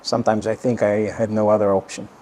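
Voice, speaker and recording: An older man from Israel with an Israeli accent, answering interview questions in a halting way with many pauses. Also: lowish voice, little pitch movement, darkish tone, slight background noise. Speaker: retired general, interview guest. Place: at home.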